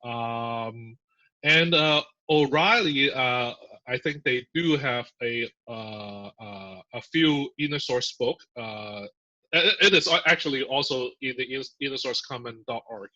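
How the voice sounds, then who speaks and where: Male guest, bright and forceful, chatty with fillers; dull lo-fi sound. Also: mid-range voice, measured pace. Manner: chatty, filler-heavy explaining with laughs. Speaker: podcast guest, software engineer. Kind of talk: casual interview. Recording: muffled lo-fi audio.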